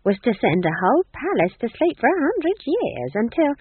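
A woman's voice: a little high, very animated, moderate pace, slightly rough. A woman voicing a chatty, earthy, comic old witch who rambles colloquially and exclaims, in an audiobook style. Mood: reminiscing. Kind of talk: audiobook character voice. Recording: thin, phone-like band-limited audio.

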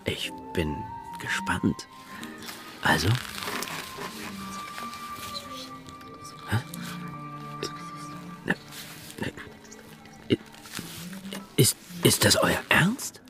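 Slow, animated roguish suitor. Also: male voice, deep voice, whispered, music in the background, exaggerated voice. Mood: curious, wry. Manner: theatrical, halting, teasing. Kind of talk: German radio drama acting.

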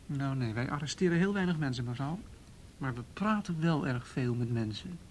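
An actor playing a patient, tactful police detective in a radio play, asking questions in a measured, procedural and hesitant way. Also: male voice, medium pitch, expressive, a little hiss or hum, lightly acted. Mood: measured, cautious. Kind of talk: Dutch radio drama dialogue.

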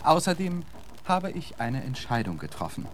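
Casting: pompous, witty gentleman poet for radio drama, ornate sarcasm, big swings in pitch, slight background noise. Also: sing-song, normal speed. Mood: indignant. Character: eloquent, indignant gentleman poet, witty and haughty. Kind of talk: German radio drama acting.

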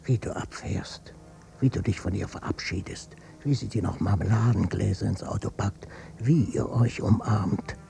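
An actor playing a watchful, brooding son in a radio drama interior monologue, volatile in delivery and often dropping to hushed murmurs. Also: male voice, on the low side, gravelly.

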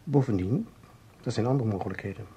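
A man playing a cool, exacting investigator in a radio drama, speaking in a measured, precise way with a husky tone. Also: fairly low pitch, dark tone. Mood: probing. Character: probing, methodical male investigator, cool and exacting. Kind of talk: Dutch radio drama acting.

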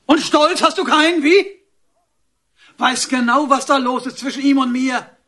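A domineering, moralistic father in a radio drama. His voice is very high and bright, with a rough rasp. He hectors and accuses like a man who will not be contradicted. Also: unhurried, full character voice. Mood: bitter, accusing. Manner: accusing, hectoring, shouting outbursts. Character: enraged, domineering father, rigid provincial moralist. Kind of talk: German radio drama acting.

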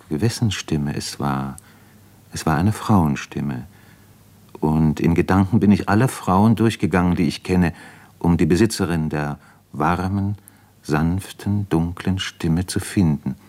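Brooding sickly diarist, low voice, radio drama, questioning himself inwardly. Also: male voice, a little mellow. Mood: anxious, brooding. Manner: introspective, searching, halting questions to himself. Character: brooding, ailing man writing an introspective diary. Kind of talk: literary radio play monologue.

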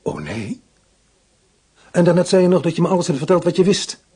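An actor playing a shrewd, calm male police inspector who questions in a probing, measured, dry way, in a radio play. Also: sits high, breathy edge.

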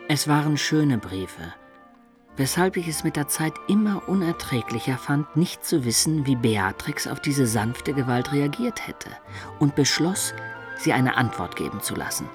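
An actor playing a lovesick young medieval student who recounts his life in a poetic, measured radio drama style, with hushed asides. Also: medium pitch, expressive, music in the background, lightly acted. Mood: tender, confiding. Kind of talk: literary radio drama narration.

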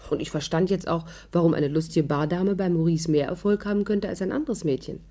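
A woman's voice: on the low side, a little fast, warm tone. An actress playing a shrewd young woman who narrates her adventure in a composed, wry way in a 1950s radio drama. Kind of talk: scripted narration, 1950s German radio play.

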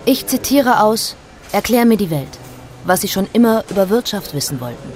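An actress playing a dry, matter-of-fact announcer who reads out accounts and definitions in a radio play. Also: female voice, medium pitch, sing-song, moderate pace, a little mellow, slight background noise, close to an everyday voice. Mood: informative. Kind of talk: scripted read-out in a radio play.

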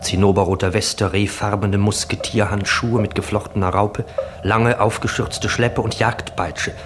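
An actor playing a measured narrator who reads stage directions in a 1960s German radio drama. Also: male voice, lowish voice, little pitch movement, lightly acted. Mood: descriptive. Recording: clearly noisy old radio recording.

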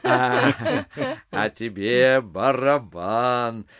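An actor playing an ingratiating, smooth-mannered gentleman guest in an audio drama, affectedly polite, coaxing and playful. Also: mid-range voice, drawn-out words, no echo, studio quality.

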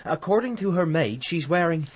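Husky, dutiful policeman. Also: fairly high pitch, animated, normal speed. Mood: weary, dry. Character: dutiful, plain-spoken English police subordinate. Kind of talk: radio drama acting.

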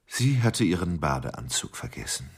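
Wry sardonic murderer in a radio play, rough husky voice, pitch moves around a lot. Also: male voice, sing-song, moderate pace, heavily acted. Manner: wry, matter-of-fact about killing. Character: sardonic male murderer recounting a deadly romance. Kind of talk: first-person radio play narration.

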